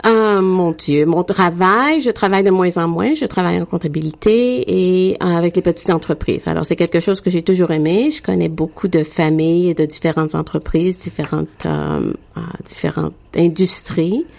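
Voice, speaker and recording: Unhurried Québécoise woman with gravelly tone. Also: fairly low pitch, a little mellow. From Quebec. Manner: frequent hesitations with "euh", unhurried. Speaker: guest. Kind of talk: interview, telling her life story.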